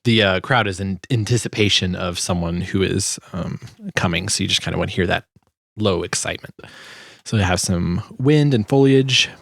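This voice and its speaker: Low-voiced man giving casual tutorial. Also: moderate pace, creaky, a bit bright. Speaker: sound designer and video host.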